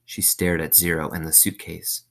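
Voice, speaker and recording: Low-voiced man reading a story aloud. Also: little pitch movement, normal speed, creaky, a bit bright. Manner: explains simply, asks listeners questions. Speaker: reader of a children's novel. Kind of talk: read-aloud storytelling with explanatory asides.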